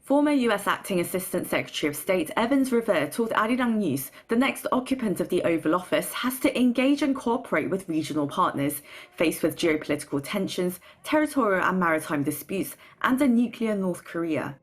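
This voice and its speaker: A woman's voice: mid-range voice, sing-song, fairly quick, slightly rough. A woman reporter narrating a scripted news report.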